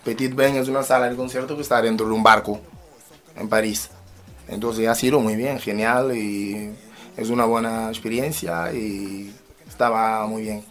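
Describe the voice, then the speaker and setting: Halting man answering as interview guest. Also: on the low side, a bit bright, faint music behind. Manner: halting, repeats words and phrases. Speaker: musician and rapper, interview guest. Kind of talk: spoken radio interview.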